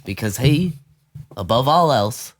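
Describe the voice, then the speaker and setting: A man's voice: mid-range voice, expressive. A young man co-hosting a comedy podcast, riffing with goofy wordplay. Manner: goofy, quick wordplay and riffs. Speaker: podcast co-host. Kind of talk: improvised comedy podcast banter.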